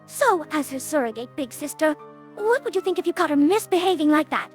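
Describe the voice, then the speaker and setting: Prim, fussy lady unicorn, high-pitched and theatrically lilting, formal and genteel, for cartoon audio drama. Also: animated, a little fast, soft background music. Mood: probing. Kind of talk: animated cartoon-style audio drama dialogue.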